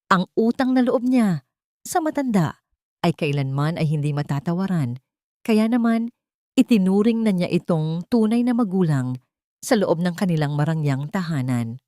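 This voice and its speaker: A woman's voice: lowish voice, expressive, moderate pace, warm tone, no room sound, clean studio sound. A woman reading a scripted dramatic story as its narrator.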